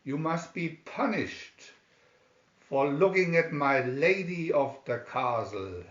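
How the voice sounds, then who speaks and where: Man as a loud, scolding ugly imp. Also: a little high, lively intonation, slow, distant mic. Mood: menacing, scolding. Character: ugly, spiteful little imp from a trick box. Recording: echoey room, dull lo-fi sound.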